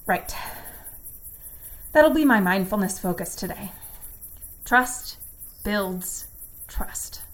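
A woman's voice: medium pitch, animated, slow, pauses often. A woman playing an earnest, anxious and loyal company employee, talking herself through doubts in an audio drama monologue. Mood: self-reassuring. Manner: earnest, self-doubting, reciting corporate slogans.

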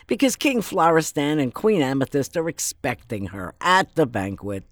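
Deep-voiced, stern old fairy auntie. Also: female voice, sing-song, moderate pace, no room sound, clean studio sound. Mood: grave, resigned. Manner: blunt, emphatic, foreboding. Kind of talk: fairytale audio drama acting.

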